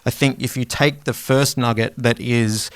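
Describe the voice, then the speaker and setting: A man co-hosting a podcast, talking in a rambling, conversational way and sometimes dropping his voice low. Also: fairly even intonation, moderate pace, clear, resonant tone, dry recording. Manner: rambling, conversational, drops to a low mumble at times. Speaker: podcast co-host. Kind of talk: casual chat.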